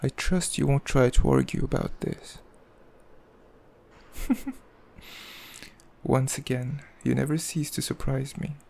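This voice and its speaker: A man playing a cruel, possessive demon king in an ASMR roleplay, speaking in a haughty, mocking, measured way with a gravelly voice. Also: medium pitch, fairly even intonation, darkish tone. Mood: possessive amusement. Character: cruel, possessive male demon king, coldly amused, condescending. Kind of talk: ASMR roleplay, slow intimate villain monologue.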